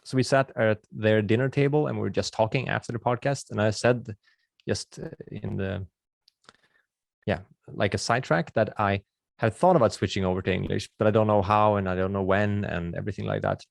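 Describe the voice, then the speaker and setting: A low-pitched man with a Swedish accent and a gravelly edge, talking in a friendly, casual way as he answers questions in a webinar. He restarts sentences and uses filler words as he goes. The recording is close and dry, with almost no room sound. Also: a little fast, no echo. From Sweden. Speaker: videographer hosting a webinar.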